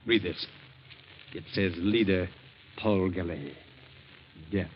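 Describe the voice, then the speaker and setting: An actor playing a grim, resolute would-be assassin in the style of 1940s radio drama. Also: male voice, deep voice, slow pace. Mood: cold, resolute. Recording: dull, hissy 1940s radio recording.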